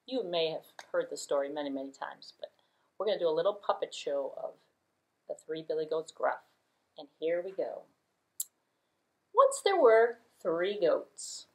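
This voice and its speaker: A woman's voice: low-pitched, sing-song, normal speed, long pauses. A middle-aged woman telling a puppet-show story, talking conversationally to children. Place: small room indoors.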